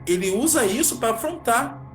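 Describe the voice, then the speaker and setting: A man's voice: very high voice, animated, moderate pace, fairly bright tone, a little hiss or hum. A man with a Brazilian Portuguese accent co-hosting a casual live-stream chat, joking and rambling in a slangy way. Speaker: podcast co-host.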